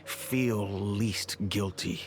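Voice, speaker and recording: An actor playing a wealthy, conscientious middle-aged father in a video game, speaking in a measured, courteous way. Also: on the low side. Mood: regretful. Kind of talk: video game dialogue acting. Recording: background music under the voice.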